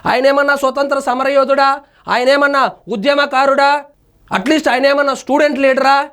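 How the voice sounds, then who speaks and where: A man's voice: very high voice, steady tone, normal speed, a bit bright. A man presents news analysis. He moves between reading reports and offering pointed commentary. His delivery is emphatic and indignant, leaning on rhetorical questions.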